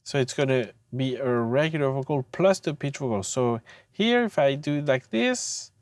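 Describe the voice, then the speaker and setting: A tutor giving an informal software demonstration, speaking in a hesitant, halting way with frequent pauses. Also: mid-range voice, animated, no echo. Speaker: audio mixing tutor.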